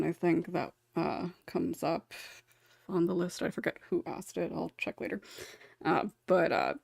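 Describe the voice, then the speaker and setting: A woman's voice: fairly low pitch, gravelly, a little mellow, no room sound, clean studio sound. A woman hosting a casual panel discussion, talking in a chatty, rambling way with frequent small chuckles. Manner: rambling, chatty, often chuckling as she talks. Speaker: podcast host and script writer.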